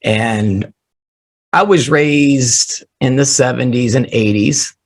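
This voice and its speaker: A man who is losing his voice, hoarse, telling animated, joking stories about his drumming start as an interview guest on a remote call. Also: medium pitch, takes it slowly. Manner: animated storyteller, jokey. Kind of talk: casual storytelling interview.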